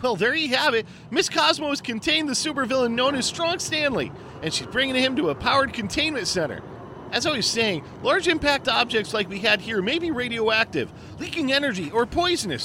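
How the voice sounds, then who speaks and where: A man plays a high-voiced TV field reporter with a lively, swooping delivery. He reports live from a city street, and traffic noise plainly fills the background. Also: a little fast, heavily acted. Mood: brisk. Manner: live on-scene reporting, stumbles when surprised. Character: excitable male field news reporter on location. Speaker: TV news reporter. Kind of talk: audio drama acting. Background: traffic and city ambience.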